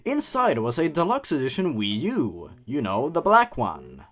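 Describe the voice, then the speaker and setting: Male story-reading host, highly animated intonation, sarcastic and mocking asides, music underneath. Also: a little slow. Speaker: host reading and commenting on online horror stories.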